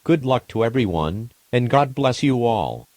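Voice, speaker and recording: A man voicing a formal emergency alert announcer, reading scripted warnings in a measured, impersonal, official manner. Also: medium pitch, expressive, darkish tone, dry recording, natural voice. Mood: grave, resigned.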